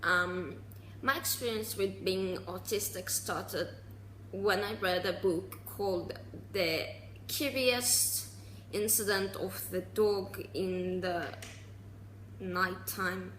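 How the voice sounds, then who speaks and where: Slow-talking young woman vlogging. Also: medium pitch, steady tone, fairly bright tone, reverberant, slight background noise, low-quality recording. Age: 20s. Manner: halting, with restarts and sarcastic asides. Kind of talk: casual personal vlog.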